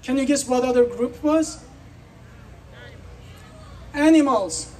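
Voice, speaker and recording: A man's voice: very high-pitched, slow pace, a little mellow, recorded from a distance. A man from Iran giving an earnest, persuasive speech at an outdoor festival, putting questions to the crowd. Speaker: speaker.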